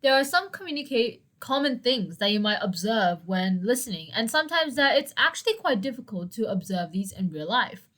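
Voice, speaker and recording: A young woman with a hoarse, dry voice hosting a solo educational podcast, chatty and prone to self-deprecating asides. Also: fairly high pitch, lively intonation, normal speed, few pauses, fairly bright tone. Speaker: podcast host.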